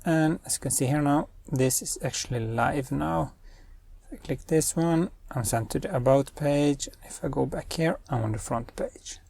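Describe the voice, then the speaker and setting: Brief: young male coding host, husky and mellow, dry close sound, thinks aloud. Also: on the high side, moderate pace, no echo. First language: Norwegian. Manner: thinks aloud while giving spoken commands. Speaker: web developer and channel host. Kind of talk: screen-recorded coding tutorial.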